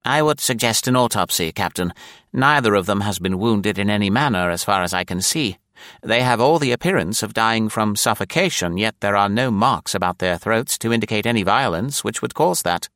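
A slow, unruffled ship's doctor with a precise, measured way of speaking, voiced by a low-pitched male narrator. His voice sits a little lower than average. The recording is dry and close. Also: animated, a bit bright, no room sound. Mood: calm. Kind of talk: audiobook character voice.

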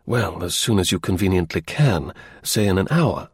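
A man voicing a brisk, observant gentleman detective in a storybook, incisive, courteous and authoritative. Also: low voice, lively intonation, breathy edge, no echo, studio quality, voice pushed down, exaggerated voice. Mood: calm, decisive.

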